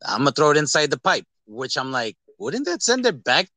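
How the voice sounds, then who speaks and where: A man's voice: a little high, sing-song, fairly quick, sharp tone, no room sound. A man co-hosting a podcast, talking casually about films in a rambling way and acting out quoted lines. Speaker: podcast co-host. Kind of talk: casual movie discussion.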